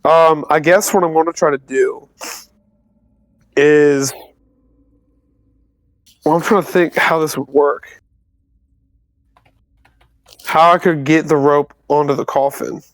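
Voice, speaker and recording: A man playing in a tabletop roleplay game, asking eager, halting questions. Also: fairly high pitch, fairly even intonation, no room sound. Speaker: player. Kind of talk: casual tabletop game play.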